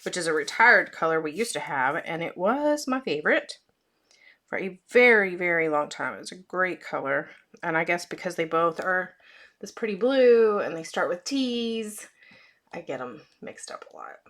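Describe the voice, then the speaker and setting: A woman with a hoarse, fading voice, chatting casually with frequent fillers as she demonstrates card-making at her crafting desk. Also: on the low side, lively intonation, normal speed, a bit bright.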